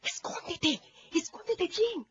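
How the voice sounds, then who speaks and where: An actress playing an excitable, affectionate farm aunt who fusses and exclaims in a radio drama. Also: female voice, extremely high pitch, takes it slowly, full character voice. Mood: fussing. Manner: fussing, exclamatory. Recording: dull lo-fi radio recording.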